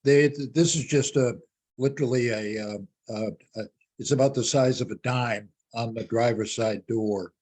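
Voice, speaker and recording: A man asking a question on a club conference call, speaking casually in halting fragments. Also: medium pitch, steady tone, darkish tone. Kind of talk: casual chat on a group call.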